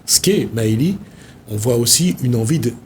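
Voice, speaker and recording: Male TV host, mid-pitched, meandering, thinks aloud, light studio hum. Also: lively intonation, normal speed. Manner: meandering, searching for words. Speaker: TV show host. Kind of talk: reflective studio talk.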